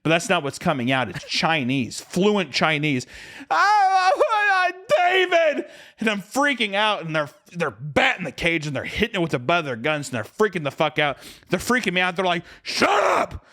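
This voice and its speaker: Animated, swearing young man telling stories. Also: a little high, brisk, hardly any pauses. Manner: animated, profane, self-mocking storytelling. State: drunk. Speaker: podcast co-host. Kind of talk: comedic storytelling podcast.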